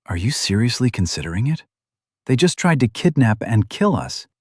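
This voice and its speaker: A man acts out a hot-headed young fighter in a fantasy audiobook. He is blunt and sulky, grumbling his lines with a lot of pitch movement, recorded dry. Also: very animated, moderate pace, husky, dry recording. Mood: incredulous.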